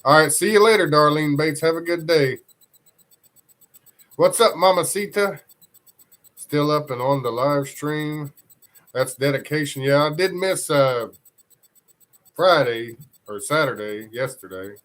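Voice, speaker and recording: A man's voice: fairly high pitch, measured pace, long pauses, fairly bright tone. A congested man hosting a casual live news stream, rambling loosely and greeting viewers from the chat. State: congested from a cold.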